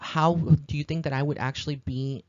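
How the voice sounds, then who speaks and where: A man's voice: a little high, fairly even intonation, moderate pace, darkish tone, no room sound, muffled recording. An American man from Texas hosting a podcast, speaking in an informal, earnest monologue, explaining at length in a rambling way. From Texas, United States. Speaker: psychic medium podcast host.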